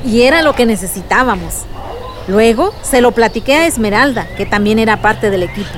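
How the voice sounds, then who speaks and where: A woman's voice: a little high, lively intonation, a little fast, lightly acted. An actress playing a young Mexican village woman who recounts memories in a reflective way in a radio drama. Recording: clear outdoor noise with birdsong.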